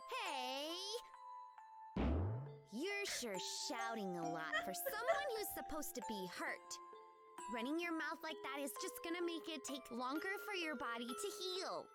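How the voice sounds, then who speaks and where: A woman's voice: high voice, very animated, unhurried. A woman voicing a spirited, outspoken young tomboy girl in a video game. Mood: scolding. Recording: loud music under the voice.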